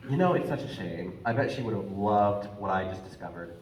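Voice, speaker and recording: A man's voice: fairly low pitch, animated, normal speed, a little mellow, a little hiss or hum, lightly acted. An actor playing Adam, the naive first man, speaking whimsically and singing with wonder in a stage musical. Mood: wistful. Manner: whimsical, wistful, sings with wonder. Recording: roomy, distant stage sound with orchestra.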